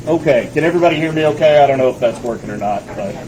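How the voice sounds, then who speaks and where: A man with a loud voice moderating a candidate forum, giving firm, brisk instructions. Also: mid-range voice, lively intonation. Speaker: debate moderator. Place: small meeting room. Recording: noisy room.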